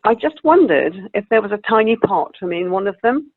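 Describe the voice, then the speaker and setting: A woman's voice: mid-range voice, lively intonation, brisk, natural voice. A woman playing a sweet, chatty old lady in a comic radio play, genteel and a little wistful. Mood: hopeful. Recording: tinny narrow-band video call audio.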